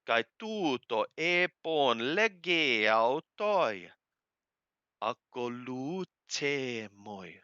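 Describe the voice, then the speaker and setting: A man reading scripture aloud phrase by phrase and translating literally, with deliberate, halting, emphatic phrasing. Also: medium pitch, very animated, pushed and bright, clear, resonant tone, dry recording, muffled recording. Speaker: Bible reader and translator.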